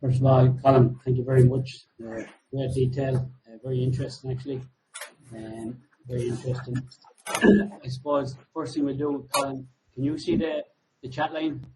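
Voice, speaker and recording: A man's voice: mid-range voice, flat delivery, normal speed. A man informally moderating a webinar over a video call. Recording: noisy webinar call audio.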